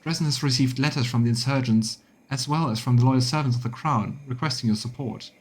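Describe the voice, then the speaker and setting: A man's voice: medium pitch, fairly quick, a little mellow, slightly rough, lightly acted. A man voicing a haughty noblewoman courtier turned deferential, reading video game dialogue in a formal, courtly manner. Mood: grave, formal.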